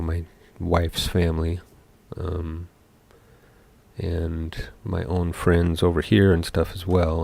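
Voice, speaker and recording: A young man from Seattle, a game developer talking casually while coding live, thinking aloud with many pauses. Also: low voice, gravelly, dark tone. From Seattle, USA.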